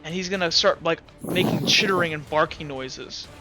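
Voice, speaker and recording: A young man with a high, bright voice runs a tabletop game as game master. He talks in a rambling way, full of fillers and quick self-corrections. Faint music plays behind him. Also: rough recording. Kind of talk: running a tabletop game online.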